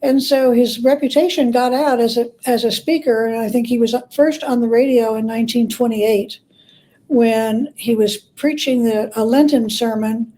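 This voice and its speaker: A woman with a fairly high, very mellow voice explains history as an interview guest. Her delivery is conversational and halting, with pauses as she searches for the right word. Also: fairly even intonation, low-quality recording. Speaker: guest expert, biographer and scholar.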